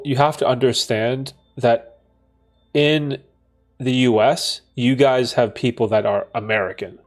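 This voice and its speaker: Man in his thirties explaining casually. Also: medium pitch. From Bermuda, raised in Canada. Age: 30s. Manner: explains at length, tags with "right?". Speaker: streamer hosting a Q&A. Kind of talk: casual chat. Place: home in Toronto.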